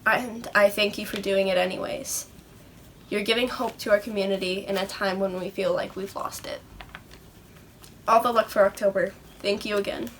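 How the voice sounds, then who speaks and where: A woman from Utah reading aloud her letter of encouragement in a warm, supportive manner. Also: medium pitch, fairly even intonation, normal speed, slight background noise. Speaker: letter writer.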